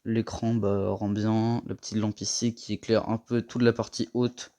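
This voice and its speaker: A young man giving a casual tour of his gaming setup, rambling and slangy, a little hoarse from a cold. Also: fairly low pitch, little pitch movement, moderate pace, mellow. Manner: rambling, slangy and self-mocking. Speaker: gaming vlogger.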